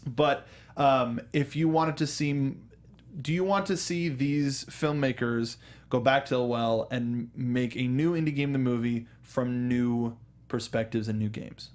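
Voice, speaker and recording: A man's voice: medium pitch, unhurried. A man hosting a film discussion show in a casual, chatty, rambling way with joking asides.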